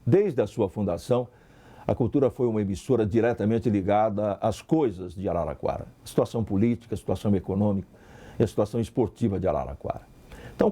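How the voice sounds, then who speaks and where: Brazilian male interviewee, very mellow low-leaning voice, emphatic delivery. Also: breathy edge.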